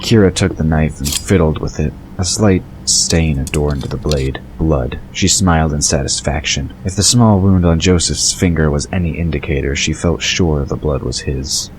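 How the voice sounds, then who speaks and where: A man's voice: extremely low pitch, moderate pace, few pauses, slight background noise, rough recording. A man reading scripted narration for an audio drama.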